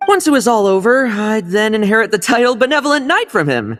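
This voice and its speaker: An actor playing a sly, cheerful young knight in a game, breezy and smooth-talking. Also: male voice, sits high, very animated, fairly quick, a bit bright, slight background noise.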